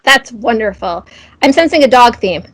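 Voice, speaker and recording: A woman's voice: on the high side, animated, moderate pace, a bit bright. A woman interviewer asking casual, friendly questions and responding in an encouraging, appreciative way. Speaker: interviewer, host.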